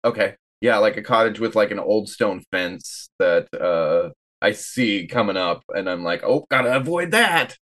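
A woman's voice: rumbling low, animated, a little slow, few pauses. A woman playing a tabletop game, chatting casually and loosely in slang. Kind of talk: casual improvised chat.

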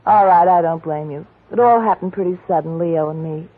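An actress playing a coaxing, melodramatic femme fatale. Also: female voice, lowish voice, a little fast, phone-quality sound, lightly acted. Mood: pleading. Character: glamorous woman, the detective's old flame, pleading. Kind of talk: 1940s radio acting. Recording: scratchy 1940s radio broadcast.

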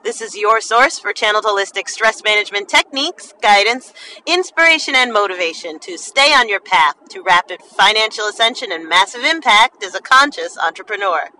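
A woman hosting a podcast in a casual, expressive monologue, with a slight lisp and slurred enunciation from a half-numb mouth. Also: medium pitch, brisk. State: half the mouth numb after dental work. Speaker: podcast host. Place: inside a car while driving. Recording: distant mic, faint vehicle noise. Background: faint car driving noise.

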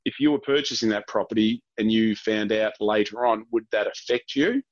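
A man's voice: fairly low pitch, normal speed, dry recording, muffled recording. An Australian man working as a real estate agent, explaining things conversationally as an interview guest.

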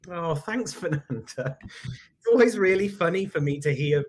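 A man's voice: on the high side, animated, moderate pace, slight background noise. A British man in his forties, a guest in a casual interview, speaking reflectively with self-deprecating humor and frequent ums. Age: 40s. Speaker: guest, executive coach.

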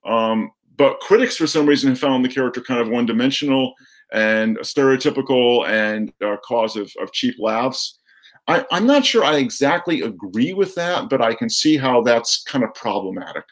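A man speaking as a guest in a conversational film discussion, measured and hesitant, carefully qualifying his opinions. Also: mid-range voice, expressive.

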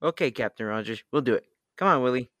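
A man's voice: mid-range voice, lively intonation, fast talker, a bit bright, no echo, studio quality, natural voice. A man acting a worried, hesitant, plain-spoken spaceman sidekick in an old-time radio drama. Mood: hurried. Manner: hesitant, stammering.

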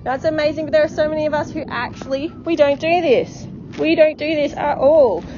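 A woman's voice: high-pitched, brisk, lo-fi sound, noisy background. A woman life coach giving an encouraging, repetitive motivational podcast talk, often checking in with "okay?".

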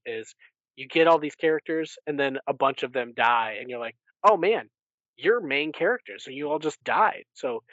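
A man's voice: fairly high pitch, no room sound, lo-fi sound. A man hosting a casual book recap podcast, retelling things in an enthusiastic, rambling way with acted-out asides. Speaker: podcast host.

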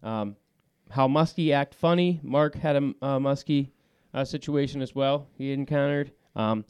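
A man's voice: mid-range voice, normal speed, darkish tone, smooth, no room sound. A man hosting a casual fly fishing podcast from a small studio, laid-back with lots of filler words and a voice that carries. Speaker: podcast host.

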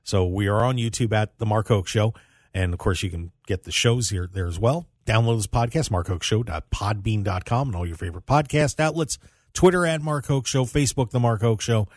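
A man's voice: on the low side, a little fast, no room sound, studio quality. A man hosting a sports talk radio show in a casual, conversational way, addressing his listeners directly.

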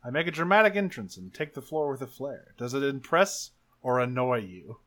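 A man plays a tabletop role-playing game, narrating his character's actions in a casual, improvised way. His pitch sits in the middle of the male range. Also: very animated, normal speed, a bit bright. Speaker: tabletop role-play player.

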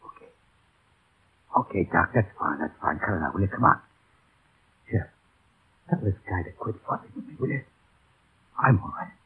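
An actor playing a brave, stoic young pilot who is badly hurt, weak and gravelly, in 1930s radio drama. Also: male voice, sits low, animated, moderate pace, long pauses, heavily acted. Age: young adult. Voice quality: gravelly, creaky. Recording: dull, faintly noisy old radio recording.

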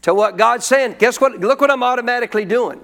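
A man's voice: very high-pitched, brisk, a bit bright. A man preaching a sermon as pastor, teaching in a colloquial call-and-response manner.

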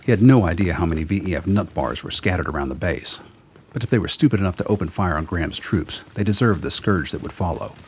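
A man's voice: deep voice, expressive, fast, slight background noise. A man reading scripted narration for a radio drama.

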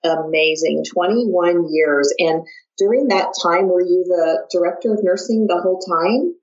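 A woman's voice: on the low side, measured pace, a little mellow, muffled recording. A woman from the American Midwest with an American accent, hosting a conversational podcast interview. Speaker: podcast host.

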